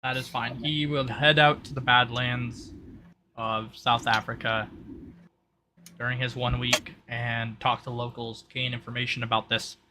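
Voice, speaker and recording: A man's voice: mid-range voice, little pitch movement, a little slow, pushed and bright, low-quality recording. A man running a tabletop roleplay session as game master, talking casually and explaining rules off the cuff, with some noise in the recording.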